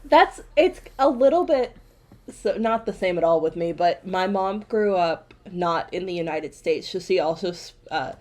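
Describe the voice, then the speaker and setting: A woman's voice: fairly low pitch, lively intonation, mellow. Chatty young woman podcast co-host. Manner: chatty, self-correcting, quick asides. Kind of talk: casual chat.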